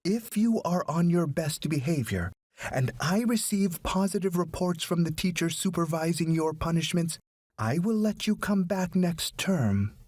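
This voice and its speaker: Man voicing a stern female school principal, raised pitch. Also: lively intonation, a little mellow, no room sound. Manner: stern, deliberate, formal. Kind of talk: audiobook story character.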